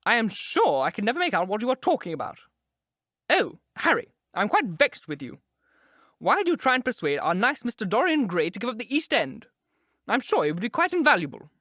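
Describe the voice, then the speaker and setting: A man's voice: high voice, wildly swooping pitch, fairly quick, pushed and bright, no echo, clean studio sound, voice pushed up, heavily acted. A man doing a fussy society lady. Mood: exasperated. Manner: exasperated, fussy. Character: fussy middle-aged aristocratic woman.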